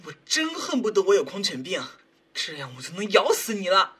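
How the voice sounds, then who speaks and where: Woman voicing cheeky, animated young man. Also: mid-range voice, fairly quick, slightly rough. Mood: exasperated. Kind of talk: audio drama dialogue.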